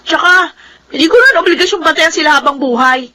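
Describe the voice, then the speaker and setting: A woman's voice: high-pitched, lively intonation, fairly quick, pushed and bright. A woman with a Filipino accent playing a lovestruck, stubborn young mistress in a dramatized story. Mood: defiant. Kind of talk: dramatized Filipino radio-style drama acting.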